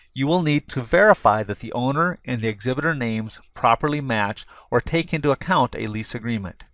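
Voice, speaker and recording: A man's voice: medium pitch, a bit bright, no room sound. Man narrating a training video, dry studio sound. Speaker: instructional narrator.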